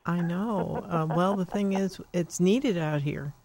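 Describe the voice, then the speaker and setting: A woman's voice: on the low side, takes it slowly, dark tone, no echo. An older woman hosting a psychic call-in radio show, talking in a rambling, chatty way with many fillers. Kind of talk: casual radio call-in talk.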